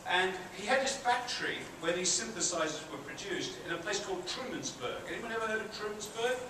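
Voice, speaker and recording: A middle-aged British man with a high, bright-pitched voice gives a chatty lecture to students. He sounds far from the mic in a big theatre, with heavy echo and a steady hiss behind him. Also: brisk. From Britain. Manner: chatty, asks the audience questions, self-deprecating asides. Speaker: university professor giving a guest lecture. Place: large theatre hall with an audience. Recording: very echoey hall, far-off and noisy.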